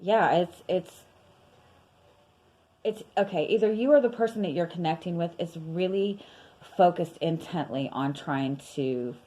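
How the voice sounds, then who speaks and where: A young woman reading tarot in a casual, rambling way, her voice worn and going hoarse, with many fillers and soft laughs. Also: lowish voice, unhurried. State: losing her voice. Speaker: tarot reader.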